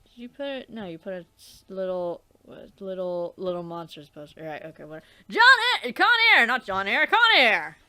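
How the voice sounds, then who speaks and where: A woman's voice: mid-range voice, expressive, a little fast, pushed and bright. A young woman hosting a let's play, reading a webcomic aloud with theatrical delivery, swearing and trailing off into casual asides.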